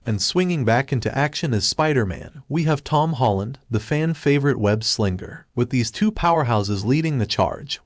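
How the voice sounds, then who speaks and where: A man reading a scripted promotional narration in the manner of an excited pitch. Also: no room sound, clean studio sound. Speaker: video narrator.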